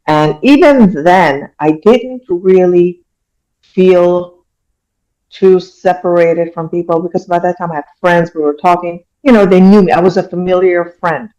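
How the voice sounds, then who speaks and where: A woman's voice: fairly low pitch, unhurried, mellow, rough recording. A middle-aged woman from Iran, a guest telling personal stories in a casual interview in an earnest, reflective way, often saying "you know".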